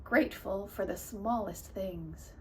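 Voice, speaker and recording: A woman delivering a theatrical dramatic monologue in an emotive, emphatic way, swinging between soft and loud. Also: mid-range voice, measured pace. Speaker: actor performing monologues. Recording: distant mic with clear background noise.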